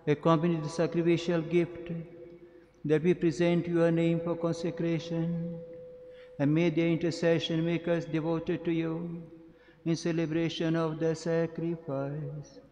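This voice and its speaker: An older man from India, a priest at the altar, reciting liturgical prayers in a measured, solemn way into a stand mic. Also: a little high, completely flat delivery, mellow. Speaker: priest celebrating Mass. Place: large cathedral, at the altar. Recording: long church reverb.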